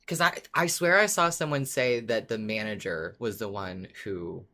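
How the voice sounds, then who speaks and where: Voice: mid-range voice, normal speed, bright, forceful tone. A podcast co-host who reads listener submissions and talks them over casually, often repeating words while thinking.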